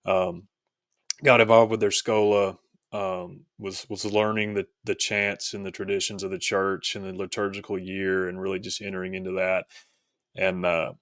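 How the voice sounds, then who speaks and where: Low-voiced man telling his story. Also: little pitch movement, moderate pace, fairly bright tone, no echo, studio quality. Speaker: podcast guest. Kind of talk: casual personal storytelling.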